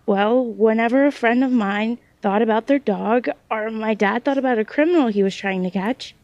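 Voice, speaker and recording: Woman playing a hesitant, high-voiced young woman. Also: darkish tone, no echo, highs cut off, natural voice. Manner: hesitant, halting, self-doubting. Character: hesitant young woman with unreliable psychic sight. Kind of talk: naturalistic audio drama dialogue.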